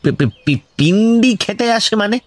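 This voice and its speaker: An actor playing a pushy, impatient investigator in a comic radio drama, questioning insistently and self-importantly with a West Bengal accent. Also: male voice, high voice, expressive, a little slow, no echo. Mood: exasperated. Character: pushy, impatient male investigator grilling a villager. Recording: music behind the voice.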